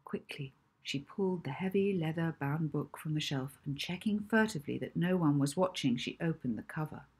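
A woman's voice: low-pitched, moderate pace, few pauses, darkish tone, slightly rough. A woman, narrating a children's novel expressively. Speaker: author reading own children's novel.

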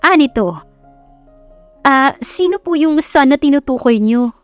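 Woman acting a flustered, shocked young woman, pitched high. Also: animated, a little slow, no echo, faint music behind. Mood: startled, confused. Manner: startled, questioning exclamations.

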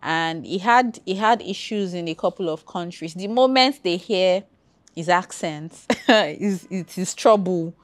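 A Nigerian woman with a Nigerian accent and a fairly low voice, chatting casually as a podcast guest. She talks in a relaxed, conversational way and repeats her key points for emphasis. The recording is dry and close, with no room sound. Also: measured pace, dry recording. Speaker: podcast guest, travel content creator.

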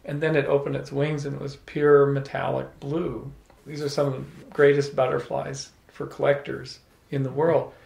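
A middle-aged man telling an animated, playful story to a small audience, holding a handheld microphone. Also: medium pitch, moderate pace, creaky. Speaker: salon speaker. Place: room with small live audience. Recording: echoey room.

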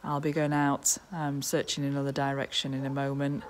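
Woman in her 30s vlogging on her phone, low voice, a bit worried, faint hiss behind. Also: monotone, normal speed. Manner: worried, talking straight to a handheld phone. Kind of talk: casual vlog to camera. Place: outdoors in the countryside and indoors at home. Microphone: phone held at arm's length.

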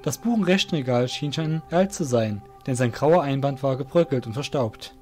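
A man's voice: medium pitch, moderate pace, a little mellow, faint music behind. A man narrating a scripted fantasy story as the narrator of an audio drama.

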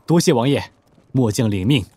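An actor playing a loyal, resolute cavalry officer with fervent delivery in an audio drama. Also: male voice, medium pitch, expressive, moderate pace, darkish tone, breathy edge, dry recording. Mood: grateful. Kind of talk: Chinese audio drama acting.

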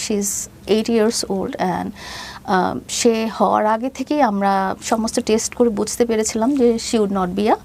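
Woman, fifties, Bangladeshi accent, creaky voice, hesitant storytelling, English mixed in, faint background noise. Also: medium pitch, darkish tone. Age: 50s. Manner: hesitant, halting delivery, mixing in English phrases. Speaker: interview guest. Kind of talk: personal storytelling in an interview.

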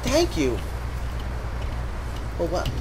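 A man's voice: high voice, wildly swooping pitch, extremely slow, background noise. A man giving sarcastic gameplay commentary, swearing and ranting in exasperation with mock-dramatic emphasis. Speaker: gaming streamer. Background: video game music and sound.

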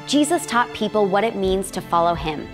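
Calm woman narrating a Bible story. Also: medium pitch, moderate pace, music in the background.

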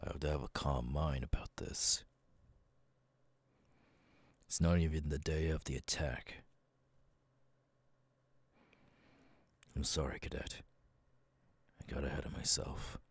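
A man acting out a brooding, battle-hardened army captain for an ASMR roleplay. His voice is extremely deep and husky, with slow, deliberate phrasing. It is recorded dry and intimate. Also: fairly even intonation, no echo, exaggerated voice. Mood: calm, subdued. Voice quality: husky, breathy. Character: stoic, battle-hardened male military captain, brooding and vengeful. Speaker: voice actor, ASMR artist. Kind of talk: ASMR audio roleplay, dramatic monologue.

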